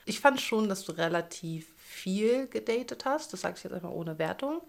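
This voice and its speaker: A woman's voice: mid-range voice, lively intonation, normal speed, vocal fry. A woman in her thirties from Berlin, co-hosting a chatty podcast in a playful, teasing way and laughing often. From Berlin, Germany. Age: 30s. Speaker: podcast co-host. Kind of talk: casual chat.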